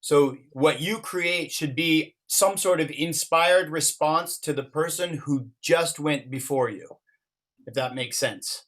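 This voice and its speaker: A man co-leading a workshop, coaching a group with careful, measured explanations. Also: on the high side, fairly bright tone. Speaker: workshop co-facilitator.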